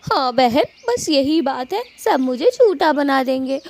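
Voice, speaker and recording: Cartoon wronged wife bird woman performer: high, dragging pace, helpless and plaintive. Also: big pitch swings, slow pace, darkish tone, slight background noise, heavily acted. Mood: despairing. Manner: plaintive, lamenting. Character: distressed, wronged married female bird, helpless and lamenting. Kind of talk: Hindi cartoon story dubbing.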